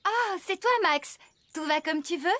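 An actress voicing a cheerful, kindly but bossy young woman farmer in a French children's cartoon, brisk and expressive. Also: very high-pitched, a crowd in the background. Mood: friendly.

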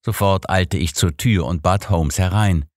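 A man's voice: low-pitched, unhurried, darkish tone, no room sound. A man narrating a scripted first-person story as an audiobook reader, close on a studio microphone.

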